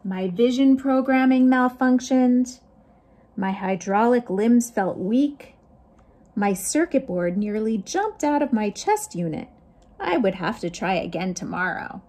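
A shy, dramatic storybook robot acted out by a woman reader, hopelessly lovestruck. The voice is high and slow, with clipped, emphatic phrases. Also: animated, dark tone. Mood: lovestruck, excited.

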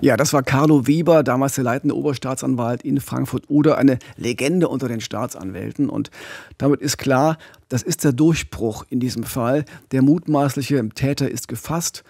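A man's voice: mid-range voice, normal speed, vocal fry, a little mellow, no echo. A man in his forties telling a true-crime story conversationally as a podcast host, close on a studio microphone. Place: radio studio. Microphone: close studio microphone with pop filter.

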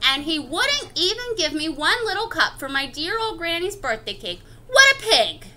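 A woman's voice: very high-pitched, very animated, moderate pace, pushed and bright, full character voice. A woman voicing an indignant, self-justifying wolf telling his side of a picture-book story in a chatty, confiding, theatrical way. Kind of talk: expressive picture-book read-aloud.

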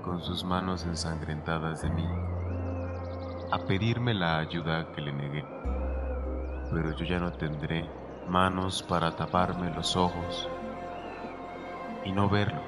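Radio drama: man as resigned dying landowner, haunted monologue, low voice, heavy music bed. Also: flat delivery. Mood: resigned, longing. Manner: solemn, slow inner monologue. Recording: loud music under the voice.

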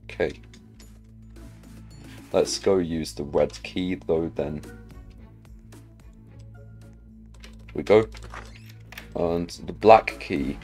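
Low voiced guy doing slow chill gameplay commentary, kinda talking to himself, bit of music behind. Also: warm tone. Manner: muttering to himself, asking himself questions. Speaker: gaming video host.

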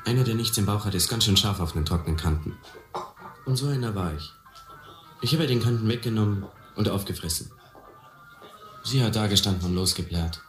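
A streetwise, cocky harbour youth played by a man speaks in a low voice, blunt and colloquial. He sounds hungry to get ahead. It is radio drama in a roomy space with music behind. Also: a little fast, pauses often, lightly acted. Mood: cocky, driven. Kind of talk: German radio play acting.

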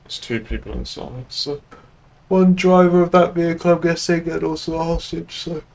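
A man's voice: a little high, fairly even intonation, normal speed, vocal fry, darkish tone. A man streaming a police roleplay game, giving casual radio-style updates. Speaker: live streamer playing a police officer. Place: indoors at a gaming setup, streaming. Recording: background noise from game ambience.

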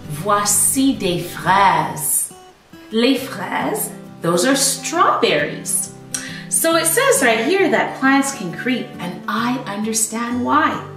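A woman in her thirties reading a storybook aloud to young children as a teacher, with expressive emphasis and explanations. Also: fairly high pitch, slow pace, far from the mic, soft background music. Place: small living room at home, indoors. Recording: roomy echo, away from the mic.

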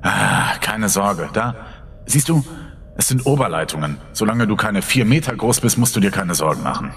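Actor as brash low-voiced young man, reverberant. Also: lively intonation, moderate pace, fairly bright tone. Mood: reassuring. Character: brash, sarcastic young man, impatient and daring. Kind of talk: German horror audio drama acting. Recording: long reverb, rumbling noise.

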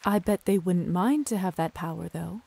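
An actress playing an earnest, hesitant young gentlewoman who is a new vampire, in video game style. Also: on the low side, normal speed, creaky, slightly rough. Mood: curious, doubtful.

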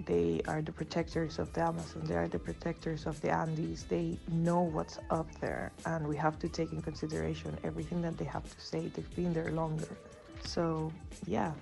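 A woman's voice: deep voice, vocal fry, dark tone, low-quality recording. A woman from Peru giving an earnest prepared talk as a podcast guest, often saying "like". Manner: earnest, frequent "like" fillers.

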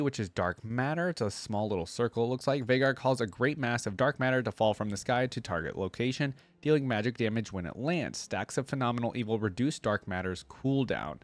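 A man's voice: lowish voice, no echo. A young man giving casual reaction commentary, thinking aloud informally and at times reading text out, close on a desk microphone. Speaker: reaction video host. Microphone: close desk microphone on arm.

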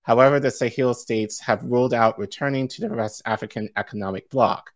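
A man's voice: medium pitch, a little fast. A male co-host reading news articles aloud on a live stream, stumbling over foreign names and laughing easily. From Igbo-speaking background. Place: home studio, indoors.

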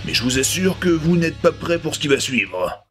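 A man's voice: on the high side, very animated, normal speed, over music. A man in his twenties hosting a comedy review, narrating a script in an ironic, slangy way. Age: 20s. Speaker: host of a comedy review show.

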